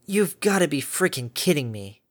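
A woman's voice: low-pitched, big pitch swings, moderate pace. A woman voicing a cocky, foul-mouthed female pony adventurer with a sarcastic edge. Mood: exasperated.

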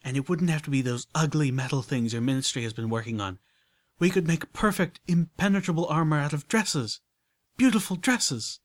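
A man's voice: mid-range voice, animated, brisk, dry recording, voice pushed up, lightly acted. A man voicing an elegant, prim unicorn mare who talks with genteel enthusiasm. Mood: enthusiastic.

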